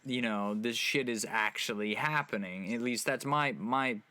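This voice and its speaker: A young man co-hosting a casual podcast, animated and opinionated, swearing freely as he riffs. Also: mid-range voice, moderate pace, smooth, dry recording. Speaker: podcast co-host. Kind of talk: casual chat.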